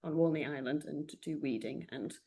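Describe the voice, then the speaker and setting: A woman's voice: deep voice, normal speed, dark tone, slightly rough. A woman answering as an interview guest in an easygoing, informal way. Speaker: arts programme associate, interview guest.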